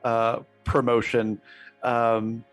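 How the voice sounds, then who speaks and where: A man playing a tabletop game, casually pitching story ideas in a tentative, filler-peppered way. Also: completely flat delivery, drawn-out words, no echo, faint music behind.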